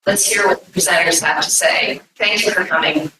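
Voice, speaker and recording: A woman's voice: lowish voice, moderate pace, recorded from a distance, noisy background. A woman hosting an event, giving an informal welcome speech to an audience. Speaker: event host introducing presenters. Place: echoey indoor conference room. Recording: echoey room, picked up from afar.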